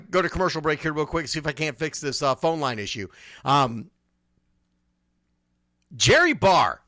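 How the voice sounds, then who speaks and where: A man's voice: fairly high pitch, sharp tone, no echo, clean studio sound. A man hosting a casual sports talk radio show, speaking emphatically and repeating phrases to drive points home.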